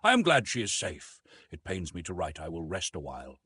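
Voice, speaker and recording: Wounded officer in an audiobook adventure read by a man, earnest and anxious, lively pitch, slightly rough voice. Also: brisk, no echo, clean studio sound, full character voice. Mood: weary relief.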